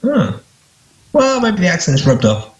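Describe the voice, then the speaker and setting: A man's voice: sits high, wildly swooping pitch, measured pace. A man with a British English accent chatting casually and jokingly as a podcast guest. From United Kingdom. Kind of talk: casual chat.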